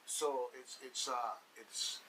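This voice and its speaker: A man's voice: mid-range voice, slow, sharp tone. A man with a Virgin Islands accent hosting a radio talk show, speaking to listeners in a folksy, emphatic way and repeating phrases for weight. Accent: Virgin Islands Caribbean. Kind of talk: casual on-air discussion addressed to listeners. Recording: hissy, distant microphone.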